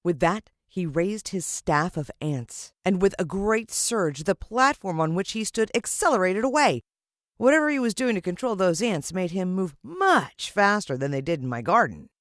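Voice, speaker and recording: Lively American woman narrating a story. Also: fairly low pitch, normal speed, no room sound, studio quality. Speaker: audiobook narrator. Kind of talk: expressive scripted children's story narration.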